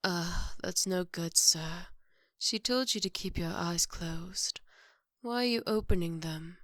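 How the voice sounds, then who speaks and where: A woman's voice: lowish voice, slow, fairly bright tone, no echo, studio quality. A woman playing a formal, devoted maid with a polite menace in an ASMR roleplay, composed and often whispery. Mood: coolly stern.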